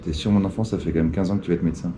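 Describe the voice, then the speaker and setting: A man's voice: low-pitched, fairly even intonation, very fast. A man speaking casually as a travel vlogger, chatting and narrating his day. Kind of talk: casual chat and vlog narration. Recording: music behind the voice.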